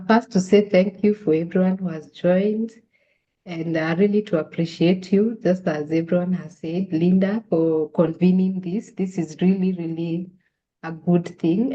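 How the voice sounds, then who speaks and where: Low-voiced woman advising on a call. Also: fairly even intonation, moderate pace, mellow. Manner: earnest, advising, with frequent restarts. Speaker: guest facilitator. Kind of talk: online meetup talk. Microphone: video call. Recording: dull lo-fi call audio.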